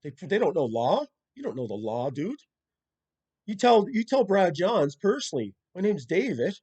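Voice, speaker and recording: A man's voice: sits high, big pitch swings, moderate pace, a little mellow, breathy edge, dull audio. A man arguing as a caller in an insistent, repetitive and combative manner, full of legal jargon. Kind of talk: confrontational phone argument with legal jargon.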